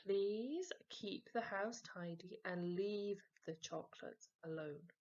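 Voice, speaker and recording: A woman voicing a brisk mum of young boys, firm, bossy and reproving, in a children's storybook. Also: medium pitch, darkish tone, highs cut off, lightly acted.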